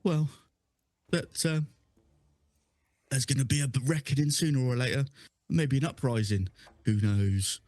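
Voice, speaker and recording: A man's voice: mid-range voice, unhurried, long pauses, a little mellow, dry recording, natural voice. A man voicing a nervous male carpenter who stammers and rambles evasively. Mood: frightened.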